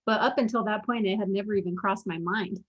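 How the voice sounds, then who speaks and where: A woman's voice: medium pitch, fast talker, slightly rough, highs cut off. A young adult woman speaking as an interview guest, earnestly telling her story and quoting her own past thoughts. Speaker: guest, former pro basketball player and mental performance coach.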